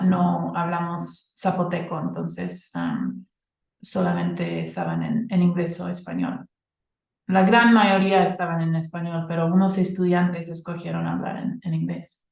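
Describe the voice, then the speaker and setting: Non-native woman researcher presenting slowly with many fillers, echoey room. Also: lowish voice, a little mellow. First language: not Spanish. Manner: hesitant, many fillers and restarts. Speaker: academic presenter. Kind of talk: conference presentation.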